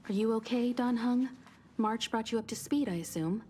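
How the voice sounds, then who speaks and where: A woman voicing a composed, authoritative crew leader in a video game, measured and formal. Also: on the high side, a little mellow, a little hiss or hum, close to an everyday voice. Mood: composed, decisive. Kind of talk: English video game voice acting.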